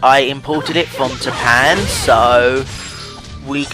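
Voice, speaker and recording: A man's voice: mid-range voice, steady tone, takes it slowly. A young man giving casual, rambling and hesitant let's play commentary over game music. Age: young adult.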